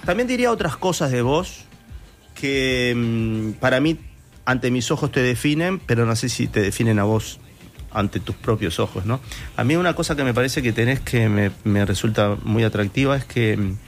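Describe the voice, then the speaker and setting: A male interviewer with a Uruguayan accent talks in an informal, conversational way. He is wordy, thinking out loud and reworking his phrases as he goes, with a middle-range voice. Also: mid-range voice, lively intonation, moderate pace. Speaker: interview host.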